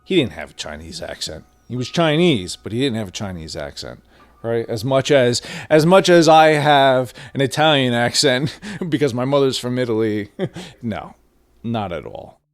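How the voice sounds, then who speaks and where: A man interviewed about an old friend speaks informally and haltingly, using casual slang. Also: medium pitch, animated, no room sound.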